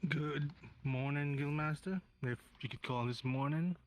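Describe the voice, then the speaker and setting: A man's voice: fairly high pitch, unhurried, natural voice. A man playing an earnest, deferential townsman in an improvised virtual roleplay, polite and a little hesitant. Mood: polite, subdued.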